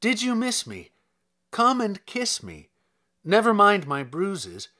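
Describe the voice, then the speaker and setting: A man's voice: sits high, wildly swooping pitch, slow, sharp tone, pitched above the performer's own voice, full character voice. A man voicing a brave, loving young woman in a fairy-tale poem, with urgent, emphatic delivery. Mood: jubilant, urgent.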